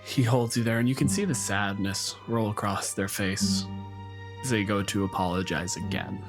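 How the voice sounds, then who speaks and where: A man with a fairly low voice runs a tabletop game as game master. He narrates scenes in dramatic, descriptive detail, with clear music playing behind him. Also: fairly even intonation, moderate pace.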